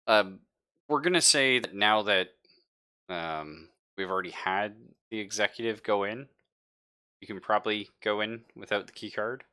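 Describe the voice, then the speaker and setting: A man with a very low, forceful voice acts as game master. He improvises narration and rulings in casual, slangy speech and swears freely. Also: normal speed, clean tone.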